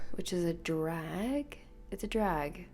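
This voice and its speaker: A woman hosting a solo podcast, rambling softly and half-whispering, her voice nasal and congested from illness. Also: lowish voice, very slow, soft background music. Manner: soft, half-whispered, meandering. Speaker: podcast host. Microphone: podcast microphone.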